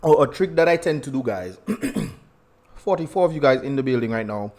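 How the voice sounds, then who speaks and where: A man's voice: medium pitch, lively intonation, normal speed, darkish tone. A young man with a Dominican accent hosting a casual livestream, chatty and laughing often as he greets viewers. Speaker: livestream host.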